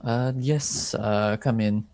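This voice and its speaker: A man's voice: fairly low pitch, steady tone, takes it slowly, darkish tone, smooth. A man playing a reserved, formal older duke in a tabletop game, with terse replies. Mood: guarded.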